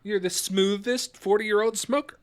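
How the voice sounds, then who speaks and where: A man's voice: high-pitched, fairly even intonation, moderate pace, clean tone. A man co-hosting a podcast in casual chat, with dry, teasing, stubborn banter. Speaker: podcast co-host.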